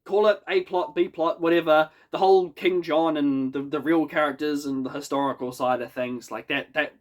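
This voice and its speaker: A man's voice: on the high side, fairly even intonation, normal speed. A man with a New Zealand accent and a head cold, giving a chatty, wry spoken review in a casual, self-deprecating way from his small apartment. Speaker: YouTube reviewer.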